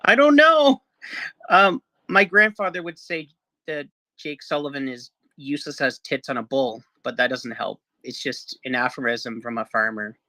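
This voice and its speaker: A man's voice: mid-range voice, animated, moderate pace, pushed and bright, no echo, rough recording. A man from Canada on a live audio panel, talking casually and informally with jokes. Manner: joking, informal. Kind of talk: casual discussion.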